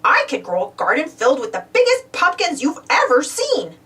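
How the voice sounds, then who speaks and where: A woman's voice: high voice, wildly swooping pitch, moderate pace, fairly bright tone, recorded from a distance, exaggerated voice. A woman voicing a boastful, lazy male duck farmer who grumbles overconfidently in a children's storybook. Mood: boastful, grumbling.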